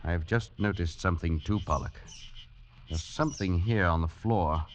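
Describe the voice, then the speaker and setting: An actor playing a steady, pragmatic colonial in an old-time radio drama, speaking in a terse, sober manner. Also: male voice, low-pitched, moderate pace, natural voice. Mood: steadying, grave. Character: steady, grim, pragmatic British colonial friend. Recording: dull, noisy vintage radio recording.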